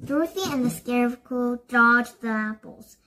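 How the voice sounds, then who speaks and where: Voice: mid-range voice, moderate pace, pushed and bright. A child reading a storybook aloud, word by word, with stumbles and self-corrections.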